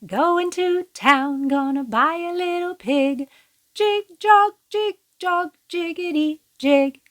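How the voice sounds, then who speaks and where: A woman's voice: very high voice, sing-song, slow, fairly bright tone, heavily acted. A woman voicing a kindly, worried old mother in a told folk tale, singing and pleading in turns. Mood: sing-song. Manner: sing-song, pleading. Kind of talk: storytelling with songs.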